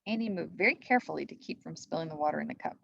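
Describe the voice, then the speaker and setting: Woman teacher for storybook narration, gravelly edge, dry but slightly dull recording. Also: low voice, animated, very fast, fairly bright tone, dry recording. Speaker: teacher reading aloud. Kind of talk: storybook read-aloud narration.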